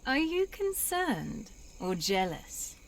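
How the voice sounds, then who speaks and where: Lively, teasing fantasy adventurer. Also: female voice, a little high, slow pace, vocal fry, slight background noise, heavily acted. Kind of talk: video game voice acting.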